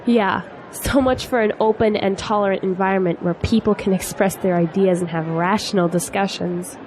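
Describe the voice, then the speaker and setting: A woman's voice: medium pitch, steady tone, normal speed, lightly acted. An actress playing a spirited young female graduate in an audio drama, teasing yet earnest. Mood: wry, opinionated. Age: young adult. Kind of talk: scripted audio drama dialogue. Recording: faint noise, outdoor crowd ambience.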